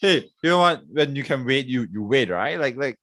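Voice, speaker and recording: A man's voice: medium pitch, animated, normal speed, a bit bright, dry recording. A man hosting a podcast in casual chat, laughing easily and using many fillers. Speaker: podcast host.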